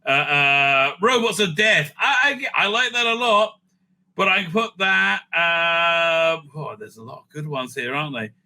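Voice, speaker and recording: Man, fairly high voice, slow rambling delivery, casual fan commentary, excitable, sweary. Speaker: host of a fan ranking show.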